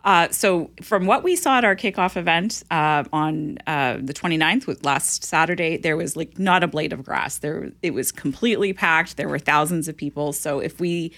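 Low-voiced laughing woman guest. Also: moderate pace, hardly any pauses, fairly bright tone. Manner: friendly, laughing, with many fillers. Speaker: festival organiser guest. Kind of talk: radio guest interview.

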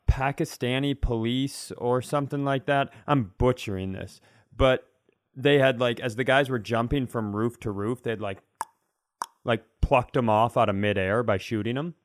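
A man with a clean, resonant voice tells a casual comic story as a podcast host. The recording is dry and close, and he re-enacts moments in an animated, self-mocking way. His pitch sits in the middle. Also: dry recording.

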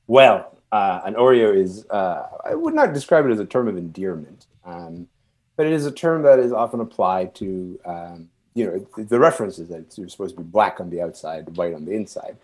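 A man from Sudan who moved to Canada young and is not a native English speaker, speaking reflectively and haltingly as an interview guest, searching for words. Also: low-pitched, big pitch swings, a little mellow. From born and raised in Sudan, moved to Canada at twelve. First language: non-English first language.

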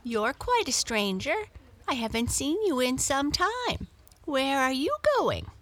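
A curious, chatty house cat, as a woman reads her aloud in a storybook, her voice pitched well up and lively as it leaps between notes. She asks eager, excited questions. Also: takes it slowly, no echo, full character voice.

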